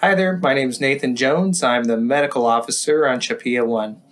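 A man speaking casually and in a relaxed way as a crew medical officer recording an interview. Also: medium pitch, moderate pace. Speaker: medical officer, analog mission crew member.

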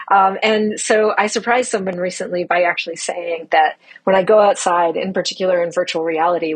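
A woman's voice: fairly low pitch, slightly rough, low-quality recording. A woman speaking as a mission commander, giving an informal audio log update in an upbeat, emphatic manner. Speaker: mission commander of a Mars analog crew.

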